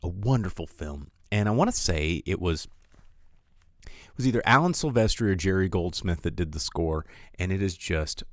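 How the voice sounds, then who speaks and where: An American man hosting a live film talk show, chatting casually with viewers in an animated, opinionated way and repeating words for emphasis. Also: deep voice, moderate pace, no room sound, clean studio sound. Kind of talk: casual livestream chat with viewers.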